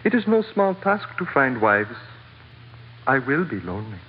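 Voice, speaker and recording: An actor playing a callous, self-pitying sultan in a radio drama, speaking in formal, pompous and mournful phrases. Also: male voice, medium pitch, big pitch swings, normal speed, close to an everyday voice. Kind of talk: 1940s radio acting. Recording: muffled old radio recording, hollow reverb, hiss.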